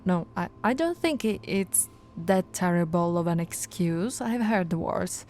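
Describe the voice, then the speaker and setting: A woman playing a patient, teasing policewoman in an intimate ASMR roleplay, talking in a soft, coaxing, conversational way. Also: mid-range voice, close to an everyday voice. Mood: calm, questioning. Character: flirty, patient, teasing policewoman reassuring a suspect.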